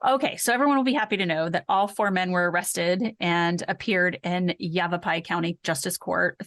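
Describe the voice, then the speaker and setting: A woman's voice: lowish voice, a bit bright, no room sound. A woman co-hosting a podcast in a casual, candid and wry way. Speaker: podcast co-host. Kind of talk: casual podcast discussion of true crime.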